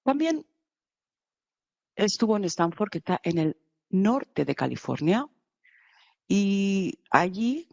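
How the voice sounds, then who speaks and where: A woman giving live conference interpretation, halting at times with restarts and bursts of speed. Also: on the low side, a little mellow, no echo, lo-fi sound. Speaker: simultaneous interpreter.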